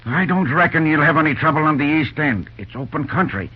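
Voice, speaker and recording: An actor playing an eager, bossy young railroad hand who uses folksy slang and a hurried manner, in 1940s radio acting. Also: male voice, fairly high pitch, expressive, husky. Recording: dull old radio recording with faint hiss.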